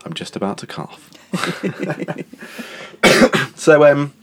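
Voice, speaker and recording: A man's voice: fairly high pitch, very animated, extremely slow, background noise. A young man hosting his podcast in a casual, chatty way, laughing between phrases and carrying a lingering cough. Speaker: podcast host. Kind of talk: casual chat. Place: indoors at a countryside house.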